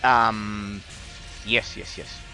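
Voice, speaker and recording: Bright-voiced Argentine man streaming. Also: fairly low pitch, level tone, drawn-out words, creaky, low-quality recording. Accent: Argentine Spanish. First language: Spanish. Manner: repeats words and phrases emphatically. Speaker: game streamer. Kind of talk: casual live-stream chat with viewers. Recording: faint game music behind voice. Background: faint music.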